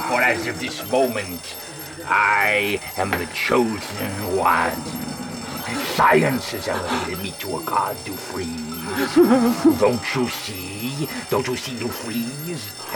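A man's voice: fairly low pitch, creaky, exaggerated voice, poor audio. A man playing a grandiose, ominous undead zombie leader in a horror radio drama, speaking like a self-made god.

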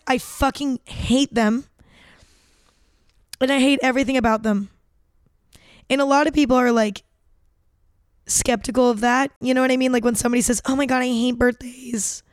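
A woman of nineteen hosting a casual solo podcast, chatty and rambling, a little hoarse with cracking voice. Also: a little high, no room sound, clean studio sound. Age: late teens. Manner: chatty, rambling, self-deprecating. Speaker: podcast host.

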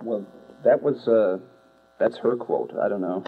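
A man in a rock band answering interview questions with deadpan jokes, halting and full of uhs. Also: on the low side, breathy edge. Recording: tinny phone-like lo-fi audio with hum.

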